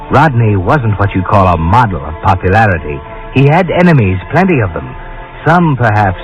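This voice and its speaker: Suspense narrator, insinuating and animated, dull old radio sound with music underneath. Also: male voice, lowish voice. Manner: insinuating, dramatic. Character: ominous, taunting unseen narrator addressing the heroine. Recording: muffled old radio recording.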